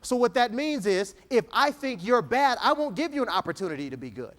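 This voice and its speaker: A man from Texas giving a motivational keynote speech to an audience, playful and joking, slowing down for emphatic lines. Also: very high-pitched. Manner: animated, dramatic pauses, slow emphatic dictation. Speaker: motivational speaker.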